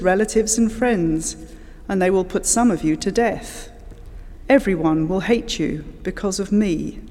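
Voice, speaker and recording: A scripture reader delivers a formal Bible passage slowly and with care. The voice is mellow and dark. It echoes with a long reverb through a church hall. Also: medium pitch. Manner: measured, formal reading. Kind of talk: liturgical Bible reading.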